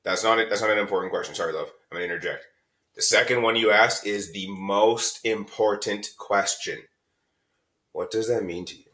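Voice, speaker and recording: A man's voice: fairly low pitch, brisk, bright, forceful tone. A man co-hosting a podcast, talking bluntly and heatedly, swearing freely as a parent giving advice. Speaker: podcast co-host. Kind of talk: candid podcast discussion.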